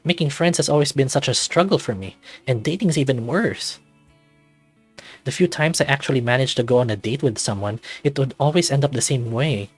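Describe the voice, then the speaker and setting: A man's voice: medium pitch, brisk, breathy edge, soft background music, natural voice. A man voicing an anxious, self-doubting man in his thirties, narrating a visual novel introspectively in the first person. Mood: insecure, pleading. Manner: introspective, confessional, dramatic reading.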